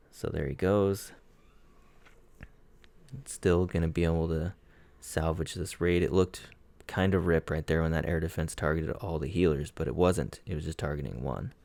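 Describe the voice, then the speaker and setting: Gravelly low-voiced man, hoarse. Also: no room sound. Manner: relaxed, conversational breakdown of strategy. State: hoarse, losing his voice. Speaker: clan player reviewing war attacks. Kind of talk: casual gameplay commentary.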